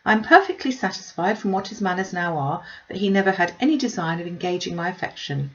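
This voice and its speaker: A woman voicing a gentle, modest and earnest young lady in a novel reading, polite and measured. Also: fairly low pitch, expressive, highs cut off, natural voice. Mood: earnest protest.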